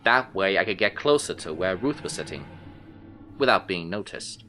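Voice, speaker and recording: Forceful, brooding detective narrator. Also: male voice, deep voice, expressive, normal speed, a little hiss or hum. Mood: uneasy, puzzled. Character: male missing-persons detective, intent, unsettled, recounting his case. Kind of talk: first-person noir audio drama narration.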